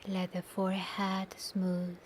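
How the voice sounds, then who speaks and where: A woman leading a guided meditation in a soft, breathy, near-whispered and soothing voice, with long pauses between short instructions. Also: medium pitch, monotone, slight background noise. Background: soft nature ambience.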